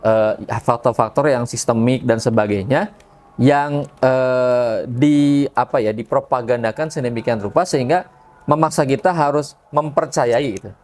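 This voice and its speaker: A man's voice: medium pitch, normal speed, darkish tone. A man speaking as a religious podcast guest, explaining things conversationally and often checking in with tag questions. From Indonesia.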